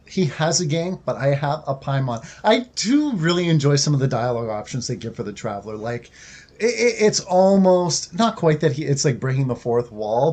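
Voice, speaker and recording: A man's voice: on the high side, darkish tone. A man giving casual, playful commentary on a game playthrough, musing and reading out dialogue options. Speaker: gaming YouTuber.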